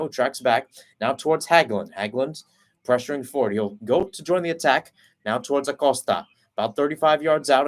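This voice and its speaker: Man calling a soccer match play-by-play. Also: medium pitch. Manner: rapid running call, rising and louder on attacks. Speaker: play-by-play commentator. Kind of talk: live soccer play-by-play commentary.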